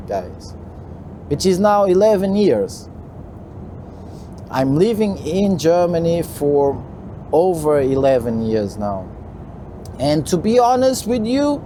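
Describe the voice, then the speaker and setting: A man with a Portuguese accent talks unhurriedly from his truck cab, his voice high yet soft and dark. He stumbles and repeats phrases, leaning on "you know." A faint road and engine hum sits behind him. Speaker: truck driver vlogger. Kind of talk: casual talk to camera while driving.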